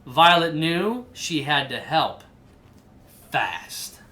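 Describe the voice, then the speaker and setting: Lady doing a kids read aloud, bright voice, lots of up and down. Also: deep voice, very animated, drawn-out words. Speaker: storybook reader. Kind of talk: animated children's read-aloud.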